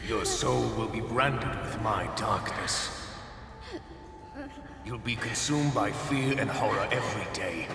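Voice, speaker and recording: A man voicing a vengeful, scornful dark lord in a fantasy game, speaking gravelly, grandiose threats. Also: on the low side, slow pace. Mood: cold menace. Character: vengeful male dark lord consumed by darkness, menacing and scornful. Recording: cavernous long reverb, distant, noisy backdrop.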